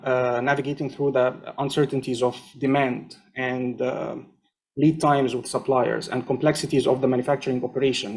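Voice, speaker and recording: Measured man explaining on a panel. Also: mid-range voice, darkish tone. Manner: measured, explanatory, many fillers. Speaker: panelist at an online conference. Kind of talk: conference panel talk.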